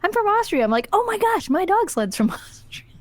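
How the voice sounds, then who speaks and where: An American woman co-hosting a podcast in casual, rambling conversation, telling stories in an animated way. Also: very high voice, a little fast, no room sound. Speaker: podcast co-host. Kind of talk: casual chat, storytelling.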